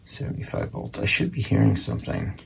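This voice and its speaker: A man's voice: on the low side, lively intonation, brisk, creaky, a bit bright, slight background noise. A man giving casual, unscripted commentary as he does a repair, half talking to himself as he works. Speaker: antique radio repairer, video host.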